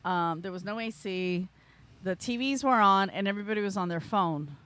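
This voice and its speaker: A woman's voice: mid-range voice, moderate pace, no room sound. A woman comedian from El Salvador, raised in Florida, telling a story in a casual podcast chat, laughing as she acts out her reactions. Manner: animated, laughs through stories, acts out her own reactions. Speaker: stand-up comedian, podcast guest. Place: podcast studio.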